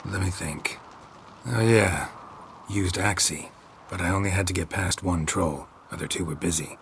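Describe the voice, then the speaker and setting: An actor playing a stoic older monster hunter in a fantasy video game, dry and deadpan, speaking in terse, clipped sentences. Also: male voice, low voice, moderate pace, slight background noise. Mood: calm, wry.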